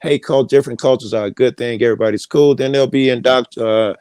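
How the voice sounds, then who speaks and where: A man's voice: mid-range voice, fast, a little mellow, clear, resonant tone, no room sound. Man in his fifties, mid-range voice, dry close sound, laid-back, stumbles over words, online panel chat. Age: 50s. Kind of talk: casual group chat.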